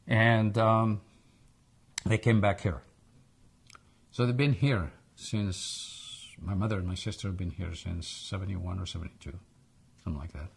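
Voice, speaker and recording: An older man from Egypt with a foreign accent, reflecting haltingly in an oral history interview. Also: deep voice, gravelly, husky. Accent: foreign English accent.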